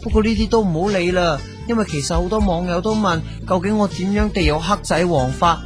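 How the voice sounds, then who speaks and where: Chatty Cantonese radio host, fairly high voice, faint music bed. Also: male voice, a little fast. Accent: Hong Kong Cantonese. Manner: jokey, chatty, stammering gags. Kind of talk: comic radio drama narration.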